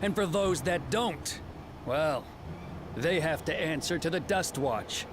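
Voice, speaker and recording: An actor voicing a smug, condescending deputy chief of frontier lawmen in a video game, with an American accent and a pompous, mocking manner. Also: male voice, on the high side, expressive, slow, noisy background. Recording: music behind the voice.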